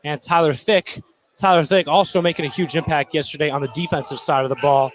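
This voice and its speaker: A man's voice: fairly high pitch, steady tone, a little fast. A man giving casual, slangy colour commentary on a live basketball game.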